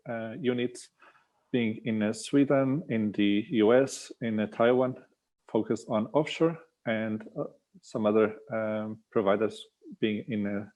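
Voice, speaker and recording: A male product manager speaks as a podcast guest at a middle pitch. His delivery is informative and conversational, in the manner of a business introduction. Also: darkish tone.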